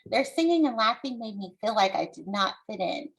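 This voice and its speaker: A woman from the United States, an author reading her book aloud and explaining it to young listeners in a deliberate, encouraging, instructive way. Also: medium pitch, big pitch swings, creaky.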